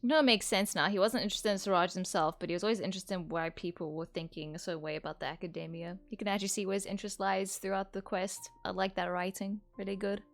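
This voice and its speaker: A woman's voice: mid-range voice, fairly even intonation, quick pace, few pauses. Woman streamer, casual gameplay commentary, mid-range voice, easygoing. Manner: casual, laid-back, laughs easily. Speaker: gaming streamer.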